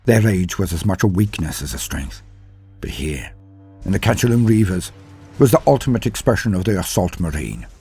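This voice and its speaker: Grim veteran sci-fi war officer, played by a male narrator: low-pitched, solemn, dramatic pauses, faint music underneath. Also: sing-song, husky, exaggerated voice. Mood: grim, awed. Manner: solemn, measured, dramatic pauses with hushed phrase endings. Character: grim, doomed male super-soldier officer recalling battle. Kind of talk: dramatic first-person scripted war-story narration.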